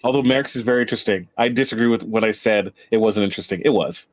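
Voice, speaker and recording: A man's voice: fairly low pitch, very fast, no echo. A man debating casually on a comedic panel, emphatic and playfully combative, often repeating his points.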